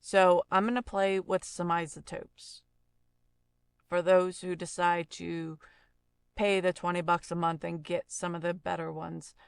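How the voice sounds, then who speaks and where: A woman narrating a casual software tutorial as an audio instructor, explaining informally and thinking aloud. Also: on the low side, level tone, unhurried, gravelly, clear, resonant tone, no room sound.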